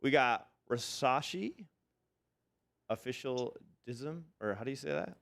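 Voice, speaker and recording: A man co-hosting a casual podcast, joking around and counting down a music chart in an animated way. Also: medium pitch, unhurried, creaky, no echo, clean studio sound. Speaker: podcast co-host.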